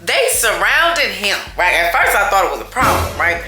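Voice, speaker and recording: A loud, chatty woman tells a story straight to her viewers. Her voice is bright and forceful, and it sounds roomy and echoey. Faint music plays under her. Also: mid-range voice, normal speed. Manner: chatty, animated, addresses viewers as y'all. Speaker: storytime vlogger.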